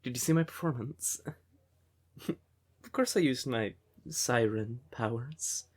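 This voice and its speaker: A man playing a devoted, emotional siren boyfriend in an intimate fantasy ASMR audio roleplay, with a breathy, tender voice. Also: mid-range voice. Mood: playful, upbeat. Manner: tender, unhurried, emotive, with pet names. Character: devoted male siren nightclub singer, affectionate boyfriend, easily exasperated.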